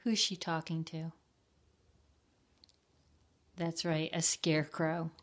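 An older woman reading a picture book to children, deliberate and given to asking them simple questions. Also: low-pitched, level tone. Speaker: library storytime reader.

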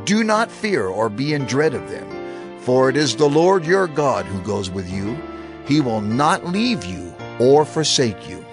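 A man's voice: mid-range voice, sing-song, unhurried, music in the background. An actor playing an elderly male prophet and leader exhorting his people in a scripture audio drama, earnest and oratorical. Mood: resolute, encouraging.

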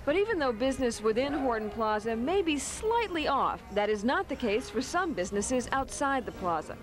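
A woman's voice: high-pitched, sing-song, normal speed. A woman TV news reporter delivering scripted narration and interview questions on 1980s field tape. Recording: noisy 1980s TV field tape, street ambience.

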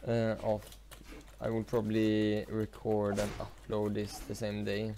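A teenage man with a Swedish accent, a native Swedish speaker, chatting casually while playing a game, rambling with many fillers and pauses. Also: fairly low pitch, monotone. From Sweden. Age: teens. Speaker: gaming YouTuber. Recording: loud noise behind the voice.

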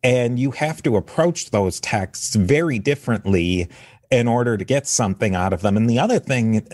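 A man's voice: mid-range voice, animated, moderate pace, a little mellow, no echo, clean studio sound. A man hosting a history podcast, reading thanks to supporters and then chatting earnestly with a guest. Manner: earnest, with fillers and restarts. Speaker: podcast host. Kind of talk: casual podcast conversation.

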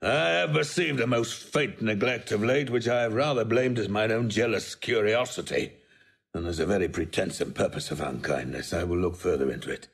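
Creaky, imperious old king. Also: lowish voice, normal speed. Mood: brooding. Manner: commanding, theatrical, volatile. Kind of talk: Shakespearean audio drama acting.

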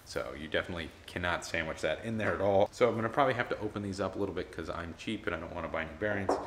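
Deep-voiced, quick-talking man. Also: fairly bright tone. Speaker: DIY builder, video host. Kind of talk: casual talk to camera about a build. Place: small garage workshop, indoors. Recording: clear background noise in garage workshop.

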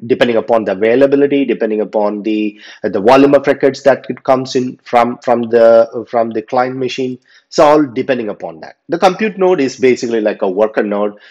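A man with a lowish voice talks through slides in a loose, unscripted way, full of fillers and restarts. The recording is muffled and lo-fi. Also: a little mellow. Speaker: instructor. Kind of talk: slide presentation lecture. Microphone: voice-over on slides. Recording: dull lo-fi voice recording.

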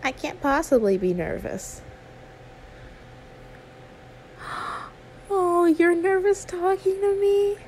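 A woman's voice: very high voice, big pitch swings, takes it slowly, darkish tone, a little hiss or hum, pitched above the performer's own voice, heavily acted. A woman voicing an anxious, sweet young female YouTuber in an audio roleplay, stammering, awkward and apologetic. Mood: reassuring. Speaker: ASMR roleplay performer. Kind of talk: one-sided ASMR audio roleplay.

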